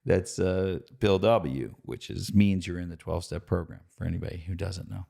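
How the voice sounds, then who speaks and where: A man hosting a relaxed interview, easygoing, with short agreeing replies. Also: low-pitched, normal speed, gravelly. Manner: laughs easily, gives short agreeing replies. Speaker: podcast host. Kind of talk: casual interview chat.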